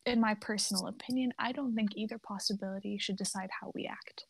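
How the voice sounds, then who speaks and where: A woman playing a gentle, thoughtful mother of thirty-one in a dramatic script reading. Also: medium pitch, fairly even intonation, quick pace, creaky, slightly rough, no room sound, low-quality recording. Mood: thoughtful, tender.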